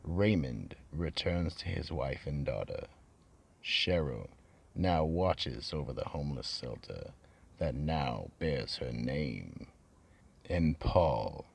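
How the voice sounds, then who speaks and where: A man playing the storyteller of a radio mystery theatre, with deliberate, dramatic scripted narration. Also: extremely low pitch, vocal fry, husky, full character voice. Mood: grave, ominous. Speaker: narrator and announcer.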